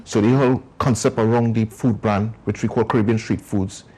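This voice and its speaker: A man with a Trinidadian accent speaks in a low, husky, mellow voice. He explains his community work earnestly and at length, as a guest in a television interview. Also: steady tone, fairly quick, low-quality recording. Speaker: community organization founder.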